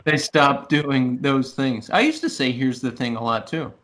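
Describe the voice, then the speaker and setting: A man's voice: medium pitch, unhurried, fairly bright tone. A man co-hosting a podcast in casual chat, laid-back and joking, with a Philadelphia accent. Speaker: podcast co-host.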